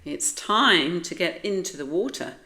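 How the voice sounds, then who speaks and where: A woman's voice: lowish voice, animated, measured pace, bright, forceful tone. Storybook cat teacher performed by a woman, British, cheery, commanding, projected classroom announcements. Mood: encouraging.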